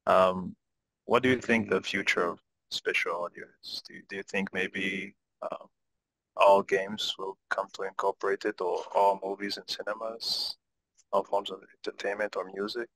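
Young male questioner, creaky low voice, casual, on a headset call. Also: little pitch movement, moderate pace, a bit bright, no echo, rough recording. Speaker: audience member asking a question. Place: indoor room.

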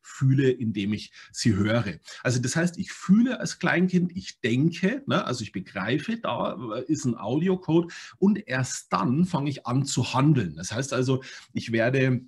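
Husky middle-aged guy on a webcam call, lecturing, lots of "ne?" and ums, mid pitch. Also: unhurried. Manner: animated, explanatory, many fillers and tag questions. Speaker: language learning speaker. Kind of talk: online conference talk with slides. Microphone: webcam on video call.

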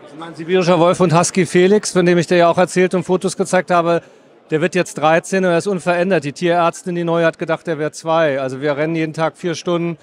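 A man's voice: fairly high pitch, flat delivery, fast, some chatter behind. A middle-aged man hosting a film interview in a chatty, effusive way on a handheld microphone in a cinema foyer. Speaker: interviewer and host. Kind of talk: red-carpet film interview.

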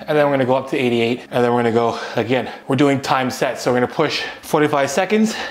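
A man's voice: mid-range voice, fast. Man coaching a workout at home. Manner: relaxed, explanatory, talks while exercising. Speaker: fitness coach. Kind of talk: casual workout instruction. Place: small home gym, indoors.

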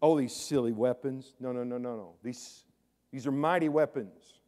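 A middle-aged man preaching a sermon from a church stage, chatty and then suddenly loud, often repeating his words. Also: mid-range voice, moderate pace. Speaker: pastor. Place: large church sanctuary with stage, indoors.

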